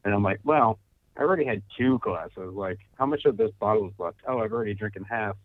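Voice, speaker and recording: A man with a lowish voice chats in a relaxed, storytelling way, often quoting what he was thinking. He comes through a thin, phone-like line, and the sound is dry. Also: quick pace, dry recording. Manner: laid-back storytelling, quotes his own thoughts. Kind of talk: casual chat.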